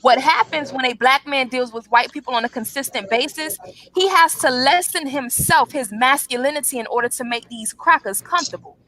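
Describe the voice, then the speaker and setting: A woman's voice: high-pitched, brisk, sharp tone. A woman arguing her point in a heated online debate, blunt and lecturing. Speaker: guest debater.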